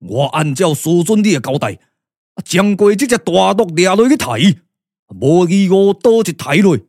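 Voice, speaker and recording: A man playing a dutiful, excitable warrior disciple in a puppet radio drama, emphatic and theatrical. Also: sits high, normal speed, no echo, studio quality, exaggerated voice. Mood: alarmed. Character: dutiful, excitable male warrior disciple reporting to his master. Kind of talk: Taiwanese glove-puppet radio drama acting.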